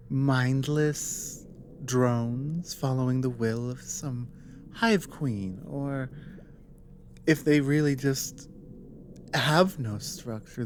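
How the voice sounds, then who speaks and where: A woman playing an earnest, resolute female dragon ally in an ASMR roleplay, speaking in halting, intimate phrases with pauses. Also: extremely low pitch, a little mellow, slight background noise. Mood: thoughtful, explaining.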